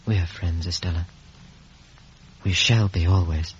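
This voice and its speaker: An actor playing an earnest, remorseful gentleman telling his life story in a reflective, literary way in a 1950s radio drama. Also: low-pitched, steady tone, very noisy. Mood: tender. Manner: literary, reflective, halting with emotion. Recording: muffled vintage 1950s radio recording.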